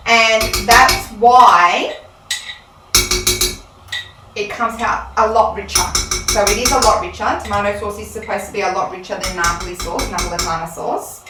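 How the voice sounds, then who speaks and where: A woman's voice: medium pitch, normal speed, loud music behind the voice. Chatty woman in a roomy kitchen. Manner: chatty, explains step by step with frequent ums. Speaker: Italian teacher. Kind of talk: casual cooking demonstration. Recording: roomy sound, mic at a distance, faint room noise.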